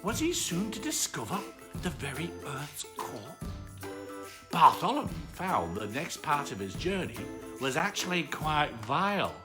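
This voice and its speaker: A low-voiced woman reads a children's story at an unhurried pace. Her delivery is dramatic and hugely varied in pitch, lingering on words. Music plays clearly behind her. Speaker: storyteller reading aloud. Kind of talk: expressive children's bedtime story reading.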